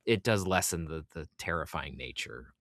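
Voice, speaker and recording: A man's voice: extremely low pitch, lively intonation, normal speed, a bit bright, no echo, studio quality. A man interviewing on a podcast in a casual, informal and agreeable chatty way. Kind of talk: casual chat.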